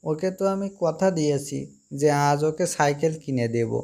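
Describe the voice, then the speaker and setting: A man's voice: fairly high pitch, animated, moderate pace, darkish tone. A man voicing a poor, humble, anxious father fox with a pleading manner in a fairy-tale cartoon. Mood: despairing. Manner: pleading, plaintive.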